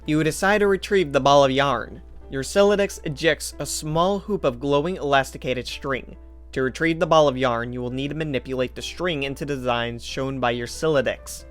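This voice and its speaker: A man's voice: mid-range voice, moderate pace. A man reading scripted second-person story narration as the narrator of a fan drama. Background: music.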